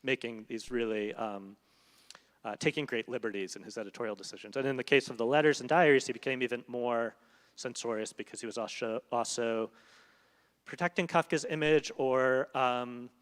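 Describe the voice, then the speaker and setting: A man's voice: mid-range voice, normal speed, clear, resonant tone. A man, a literary translator, talking onstage in a thoughtful, hesitant way with many fillers. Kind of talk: onstage conversation. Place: event hall. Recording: long reverb of a hall.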